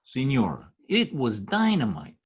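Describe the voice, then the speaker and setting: A man's voice: medium pitch, huge pitch swings, a little slow, husky, heavily acted. A man playing a deferential, persuasive political adviser who schemes for a dictator in an old-time radio comedy. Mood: suggestive, coaxing. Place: home room, recorded over video call.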